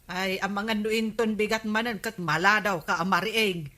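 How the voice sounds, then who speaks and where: A woman with an Ilocano accent playing a sharp-tongued, nagging village wife in a radio comedy drama. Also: mid-range voice, fairly even intonation, moderate pace, lightly acted.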